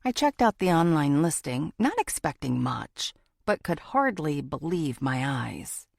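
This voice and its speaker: A woman's voice: deep voice, big pitch swings, unhurried, vocal fry, dry recording, clean studio sound. A woman narrating a first-person thriller audiobook from a script.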